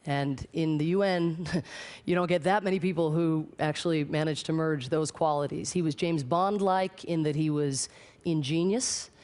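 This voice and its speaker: A man's voice: fairly high pitch, fairly even intonation, moderate pace, darkish tone. A man giving an anecdotal talk as a public speaker, articulate and rhetorical. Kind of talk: anecdotal talk profiling a person.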